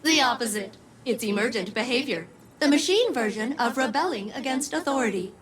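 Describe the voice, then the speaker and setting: A woman's voice: on the high side, lively intonation, brisk, natural voice. A woman voicing a cheerful, quirky female artificial intelligence in a video game, bubbly yet literal and precise in her wording. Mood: analytical. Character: cheerful, quirky female ship AI, eager and sincere. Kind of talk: video game cutscene acting.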